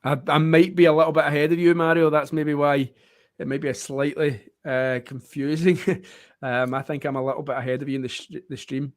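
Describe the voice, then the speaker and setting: A man's voice: a little high, level tone, brisk, no echo, studio quality. A Scottish man giving chatty live play-by-play tennis commentary for an online watchalong, with friendly asides to his co-host. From Scotland.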